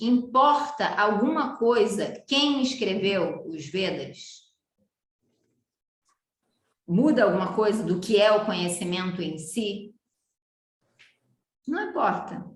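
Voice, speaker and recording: A Brazilian yoga teacher giving a live online lecture, animated and often putting questions to her students. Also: mid-range voice, slow, long pauses. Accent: Brazilian Portuguese. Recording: roomy echo.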